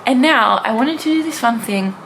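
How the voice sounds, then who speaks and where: A woman's voice: fairly high pitch, lively intonation, normal speed, a bit bright, rough recording. A young woman talking casually to camera in a gushing, informal, rambling way, like a vlogger. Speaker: book vlogger. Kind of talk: casual chatty vlog to camera. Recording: noticeable background noise.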